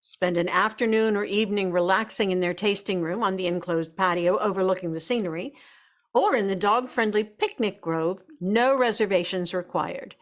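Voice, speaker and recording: A woman's voice: medium pitch, moderate pace. A woman working as narrator and announcer, reading a script for a radio drama broadcast.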